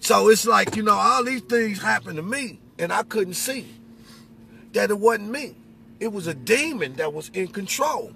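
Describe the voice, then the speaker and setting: Man, middle-aged, high-pitched, colloquial storyteller, emphatic, noisy vehicle interior. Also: expressive, normal speed, vocal fry, sharp tone. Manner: colloquial, emphatic, repeats phrases for effect. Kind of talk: animated personal storytelling with preaching. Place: inside a pickup truck cab.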